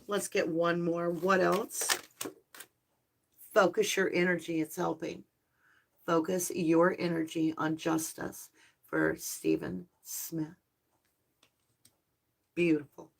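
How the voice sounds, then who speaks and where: A woman hosting a live tarot stream, talking softly and affectionately to her viewers, her voice slightly hoarse and tired. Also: on the low side, fairly even intonation. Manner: soft, slow, spiritual and affectionate, addressing viewers as lovelies. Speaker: tarot reader and channel host.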